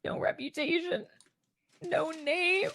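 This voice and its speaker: A woman making a personal video statement, talking hesitantly with many pauses and ums. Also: very high voice, steady tone, a bit bright.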